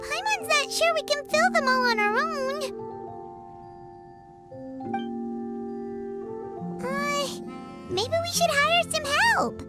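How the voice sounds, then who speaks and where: A woman voicing a tiny, excitable childlike fairy companion in a video game cutscene, exclamatory and easily flustered. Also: very high-pitched, unhurried. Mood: worn out, worried. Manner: speaks of self in third person, exclamatory. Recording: background music under the voice.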